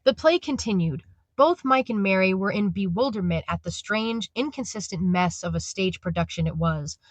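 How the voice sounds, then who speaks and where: A woman's voice: mid-range voice, no room sound. A woman narrating a scripted audio drama as its storyteller.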